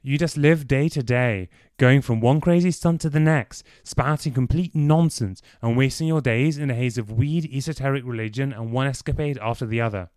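A man voicing an exasperated man who shouts in bitter frustration, his voice strained with a tremor. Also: mid-range voice, fairly even intonation, fast, few pauses, clean tone, no room sound, natural voice. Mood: furious.

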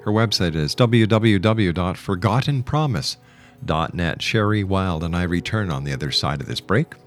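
A man hosting a radio show, speaking in a deliberate announcer's delivery. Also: low voice, lively intonation. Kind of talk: radio hosting and scripted ad reads. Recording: music behind the voice. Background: music.

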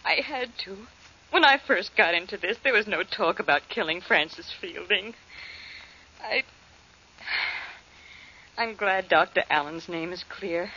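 A woman's voice: mid-range voice, expressive, measured pace, pauses often, sharp tone, husky, heavily acted. An actress in a 1940s radio drama playing an anxious female accomplice to a blackmail scheme. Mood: shaken, remorseful. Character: anxious young female accomplice, frightened and remorseful. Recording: muffled old radio recording.

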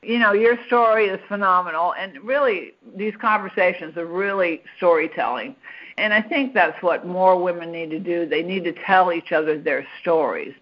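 A woman's voice: lowish voice, normal speed. A woman hosting an interview podcast, introducing her guest and asking questions in an encouraging way. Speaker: podcast host. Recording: dull, lo-fi podcast audio.

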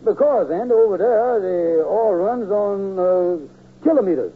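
An actor playing a folksy, scheming con-man salesman in a radio comedy, glib and wheedling, with drawn-out hesitations and mangled words. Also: sits high, husky. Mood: smooth explaining. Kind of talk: 1940s radio comedy acting. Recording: tinny, hissy old radio broadcast.

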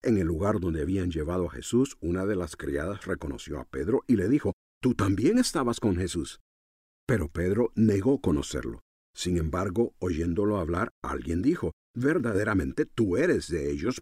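A man preaching a radio sermon in a deliberate, expository manner. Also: sits low, darkish tone, slightly rough, no echo, clean studio sound. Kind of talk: radio sermon in Spanish, measured teaching.

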